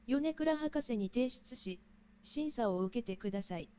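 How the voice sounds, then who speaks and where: Woman as a high, brisk, formal announcer. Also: lively intonation, warm tone, natural voice. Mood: impersonal, formal. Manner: formal, procedural. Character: impersonal, formal Japanese female announcer of student recordings. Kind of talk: scripted announcement.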